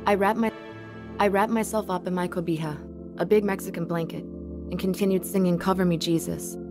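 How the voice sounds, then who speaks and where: A woman's voice: on the low side, steady tone, fairly quick, close to an everyday voice. An actress playing a frightened young woman in spiritual torment in an audio drama. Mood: haunted, reflective. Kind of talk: audio drama acting with first-person narration. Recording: music playing behind the voice.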